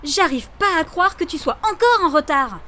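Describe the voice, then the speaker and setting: Woman as very high-voiced teenage girl, bossy, impatient, scolding, anime fan dub. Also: animated, normal speed, bright, forceful tone, faint music behind, full character voice. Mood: bossy, annoyed. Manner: scolding, demanding. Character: bossy, impatient teenage schoolgirl childhood friend. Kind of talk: French fan dub of anime web series.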